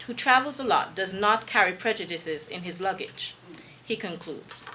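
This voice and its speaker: A woman reading a prepared, formal introduction of a lecturer, measured and trailing off at the ends of her lines. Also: medium pitch, bright, forceful tone, a little hiss or hum. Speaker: presenter introducing the speaker.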